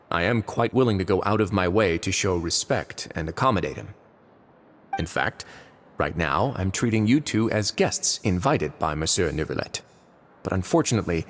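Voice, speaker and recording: An actor playing a composed, imposing duke who runs a prison in a video game, measured, self-assured and dryly polite. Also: male voice, sits low, a little hiss or hum. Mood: coolly warning. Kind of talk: English video game voice acting.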